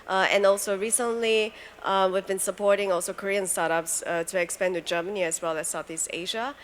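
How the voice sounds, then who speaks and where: A woman speaking as a panelist on a conference stage, measured and full of fillers. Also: medium pitch, steady tone. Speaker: panelist, program director.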